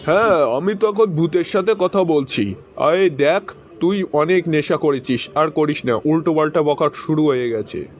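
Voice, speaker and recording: A man's voice: fairly high pitch, normal speed, natural voice. A man voicing a wisecracking cartoon friend and dad with a teasing, conversational delivery, recorded in dull, noisy audio. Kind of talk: cartoon joke skit dialogue.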